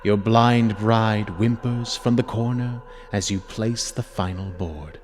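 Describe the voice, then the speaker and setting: A man giving a theatrical, menacing dramatic reading of horror narration, deliberate and at times dropping into whispers. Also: lowish voice, a little mellow, a little hiss or hum. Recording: long reverb over music. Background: music.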